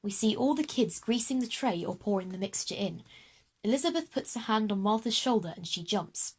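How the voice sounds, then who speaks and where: A woman's voice: mid-range voice, animated, brisk, husky. A woman reading scripted present-tense narration for a children's audio drama.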